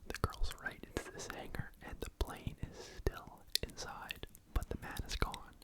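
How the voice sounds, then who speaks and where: An ASMR host whispering a true crime story to the microphone in a casual, rambling way, often saying "like" and "you know.". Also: moderate pace. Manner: soft unvoiced whisper throughout.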